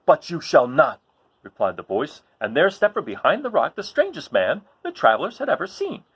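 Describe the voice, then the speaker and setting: A man reading a children's story aloud in an expressive style, as the host of a read-along. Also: fairly high pitch, a little fast, slightly rough.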